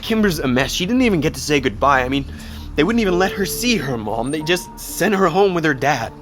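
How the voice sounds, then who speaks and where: A man acting a distraught, guilt-ridden teenage boy in an audio drama, halting, sighing and swearing. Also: a little high, expressive, a bit bright, soft background music. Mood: grieving, weary.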